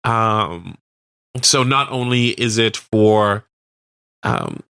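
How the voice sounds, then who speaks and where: A man's voice: lowish voice, expressive, very slow, gravelly, pushed and bright, clean tone, dry recording, studio quality. A man with a Canadian accent co-hosting a casual local radio news chat in an informal, slightly hesitant and lightly joking way. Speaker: radio co-host.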